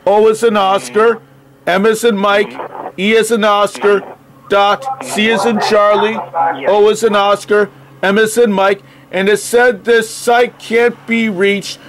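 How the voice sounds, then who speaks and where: Guy on a phone call, high voice, talks real slow and deliberate, spells stuff out. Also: level tone, a little hiss or hum. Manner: deliberate, halting, literal. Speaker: phone call recipient stringing a caller along. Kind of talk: slow phone conversation, spelling and reading aloud.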